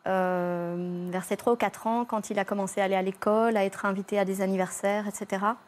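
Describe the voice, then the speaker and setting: A woman, a guest on a television talk show, telling a personal story in a lively way, with frequent fillers. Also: medium pitch, a little fast. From Belgium. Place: television studio.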